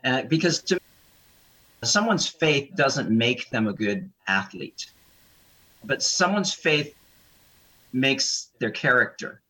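A sports chaplain and coach, this man has a bright, forceful tone with a raspy edge. He talks casually but reflects on character and values. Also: mid-range voice, sing-song, slow, pauses often, low-quality recording. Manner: reflective, moralizing commentary. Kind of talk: casual panel discussion.

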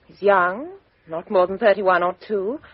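Young lady, crisp and candid, husky, muffled 1950s broadcast. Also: mid-range voice, a little slow, no room sound. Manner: crisp, frank, upper-class delivery. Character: composed, outspoken young Englishwoman. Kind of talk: 1950s radio drama acting. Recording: dull 1950s radio recording.

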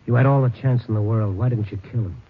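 An actor playing a firm, earnest, world-weary newspaper reporter in a 1950s radio drama, in a measured manner. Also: male voice, on the low side, husky. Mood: grim. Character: steady, world-weary male big-city newspaper reporter. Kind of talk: 1950s radio drama acting and first-person narration. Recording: muffled old radio recording, faint music.

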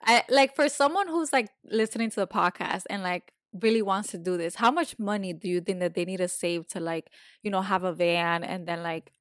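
A woman's voice: medium pitch, a little fast, hardly any pauses, no echo, clean studio sound. Woman podcast host, casual and filler-heavy. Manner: casual, lots of "like" and "mm-hmm" backchannels. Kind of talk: casual interview chat.

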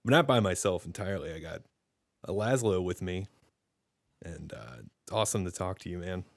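A man hosts a casual podcast over a video call, asking rambling, hesitant questions with frequent restarts. His voice sits a little low, and the sound is dry and close, with no room echo. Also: expressive, brisk. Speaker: podcast host.

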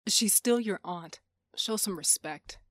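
A woman's voice: medium pitch, slow, a bit bright, no room sound, clean studio sound. A woman playing a stern, determined aunt in a sci-fi audio drama, speaking firmly and tersely.

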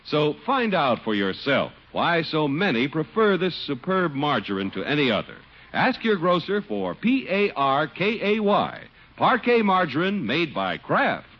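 A male announcer from 1950s radio, fairly high and very animated in pitch. His voice is a little husky, on a muffled old broadcast recording with faint music. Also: unhurried.

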